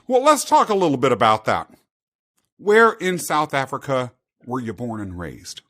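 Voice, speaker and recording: A man's voice: mid-range voice, big pitch swings, moderate pace, fairly bright tone. A man hosting a podcast interview with an upbeat, effusive manner. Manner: upbeat, effusive, laughs easily. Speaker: podcast host.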